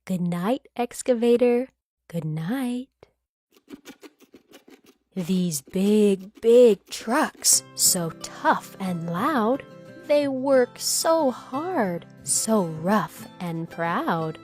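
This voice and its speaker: A woman reading a children's bedtime story aloud, expressively, with gentle, drawn-out phrasing and acted emphasis. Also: mid-range voice, expressive, faint music behind. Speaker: storybook reader.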